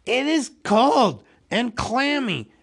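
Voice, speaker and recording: Someone reading as a plucky little hobbit, higher voice, kinda flustered n emphatic, bit husky. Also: sing-song, slow, fairly bright tone, heavily acted. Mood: disgusted.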